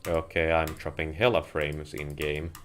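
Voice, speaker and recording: A man with a Finnish accent chats to viewers in measured, slightly wordy phrasing while livestreaming a video game. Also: rumbling low, little pitch movement. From Finland. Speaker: live streamer playing a video game. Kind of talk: casual livestream gaming commentary, chatting with viewers. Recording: noisy home stream with keyboard clicks. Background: keyboard and mouse clicking.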